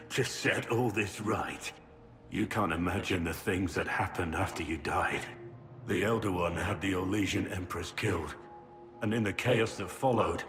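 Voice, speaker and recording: A slow, haunted, low-voiced prisoner. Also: male voice, a bit bright, long reverb tail, a little hiss or hum. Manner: slow, weary, dazed. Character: broken, devout male prisoner, haunted and despairing. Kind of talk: video game cutscene acting.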